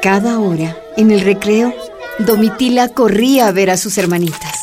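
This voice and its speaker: A woman's voice: medium pitch, normal speed, over music. A woman narrating a scripted radio drama as storyteller.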